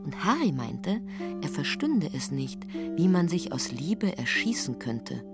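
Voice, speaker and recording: A woman's voice: low voice, very animated, measured pace, exaggerated voice. A woman narrating a literary radio play, telling the story in a wry, matter-of-fact way. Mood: wry narration. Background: guitar music under the voice.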